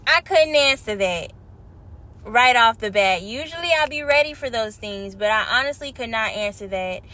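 A woman hosting her podcast in casual, slangy chat, a little hoarse from a cold. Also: a little high, normal speed. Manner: animated, slangy and chatty. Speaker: podcast host. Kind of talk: casual spiritual podcast talk.

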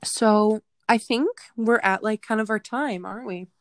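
A woman's voice: medium pitch, unhurried, no echo, studio quality. A woman with a Minnesotan accent co-hosting a podcast in casual, giggly chat, often trailing off with "like". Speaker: podcast co-host.

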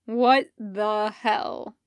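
A woman voicing a gruff, exasperated man with an emphatic, grumbling manner in a story reading. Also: medium pitch, fairly even intonation, very slow, no echo. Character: gruff, exasperated man, scowling but soft-hearted.

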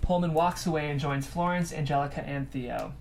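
A woman's voice: deep voice, brisk, a little mellow, a little hiss or hum. A woman reading stage directions from a script as the narrator.

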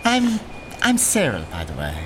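An actor playing a gentle, chatty old Irishman who rambles and muses in an audio drama. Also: on the high side, wildly swooping pitch, normal speed, noisy background. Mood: gently sympathetic. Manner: rambling, musing, tag questions. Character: gentle, chatty, ghostly old fellow narrating and watching passengers.

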